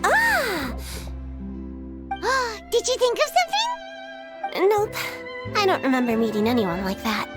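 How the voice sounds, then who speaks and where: A woman voicing a small, sweet, naive fairy-like girl in a video game, squeaky and cutesy in her exclamations. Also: wildly swooping pitch, slow, pauses often, exaggerated voice. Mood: bright, naive. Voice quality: squeaky, childlike. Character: small childlike melusine girls and fairy companion, sweet, naive, eager. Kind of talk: scripted video game voice acting. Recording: music behind the voice.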